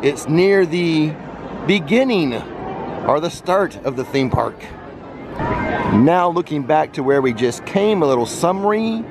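Male tour vlogger, slightly high voice, addresses viewers directly, recorded outdoors amid clear park noise. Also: lively intonation, slow pace. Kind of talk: unscripted walking tour narration. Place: outdoors, walking through a busy theme park.

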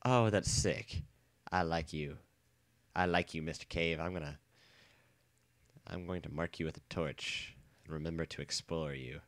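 A young man with a bad cold and a nasal, stuffy voice, chatting casually and rambling excitedly while playing a game. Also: extremely low pitch, a little slow, long pauses, no echo. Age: young adult. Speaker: let's play gamer. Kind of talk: casual gameplay commentary. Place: dorm room.

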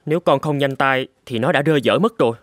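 A man voicing a brisk, impatient young samurai, the second son in a cartoon. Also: medium pitch, noisy background, close to an everyday voice. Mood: questioning. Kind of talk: dubbed cartoon storytelling. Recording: loud music under the voice.

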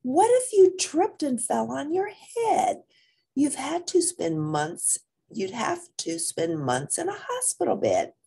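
Woman acting a frazzled, wailing mother cat, swooping pitch. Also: very animated, takes it slowly, gravelly, dark tone, full character voice. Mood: scolding. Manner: wailing, groaning, exclamatory delivery. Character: frazzled, worried mother Siamese cat, scolding.

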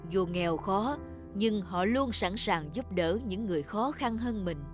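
A woman voicing a portly male angel in a cartoon, speaking in a calm, kindly way. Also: medium pitch, a little slow, lightly acted. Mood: gentle. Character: portly male angel, gentle and soft-hearted. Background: music.

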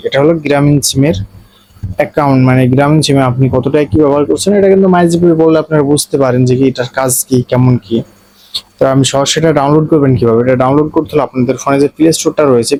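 Bangladeshi guy doing a tech how-to, soft mellow tone, dry close sound, explains stuff step by step to viewers. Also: medium pitch, no echo, poor audio. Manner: repetitive, explanatory, addresses viewers directly. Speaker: tech tutorial host. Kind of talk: casual step-by-step phone tutorial narration.

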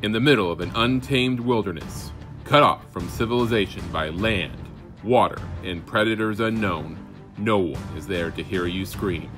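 A man's voice: on the low side, moderate pace, over music. A man delivering dramatic scripted narration in the style of documentaries and film trailers. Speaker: voice actor.

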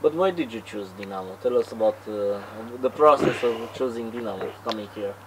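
A man's voice: lowish voice, fairly quick. A man asking brief, hesitant interview questions. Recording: distant voice with background noise.

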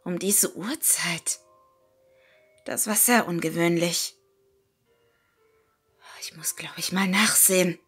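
A woman narrating a first-person scary story in a hushed, unhurried, dramatic manner, with music behind her. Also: lowish voice, slightly rough. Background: background music.

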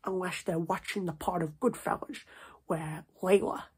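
A young man voicing a stern, scolding New Jersey mob boss in a hushed, husky ASMR roleplay. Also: sits high, fairly even intonation, normal speed. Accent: put-on New Jersey mobster. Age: 20s. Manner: repetitive, slangy, stern with checking tags. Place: small domestic room, indoors.

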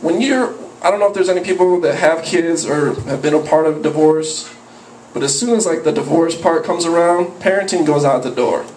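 A young man doing profane open mic stand-up, rambling with a slightly high voice. It is caught by a far camera in a small cafe, so it sounds roomy and distant, with clear room noise behind it. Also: brisk, darkish tone. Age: young adult. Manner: profane, rambling, jumps into yelped character voices. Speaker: stand-up comedian. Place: small cafe with a live audience. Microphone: handheld mic, filmed from a far camera. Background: audience laughter.

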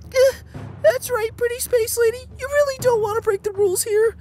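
A man's voice: extremely high pitch, moderate pace, voice pushed up. A man voicing a naive, timid young dragon, pleading and hesitant, in a cartoon comic dub. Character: dim, sweet, timid young male golden dragon head.

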